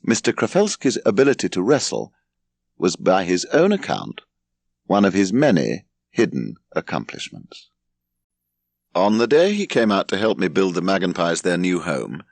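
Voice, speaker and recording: A deep-voiced Englishman narrates an audiobook with great expression. His pitch moves freely from phrase to phrase, with a creaky edge to the low notes. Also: big pitch swings, moderate pace, dry recording.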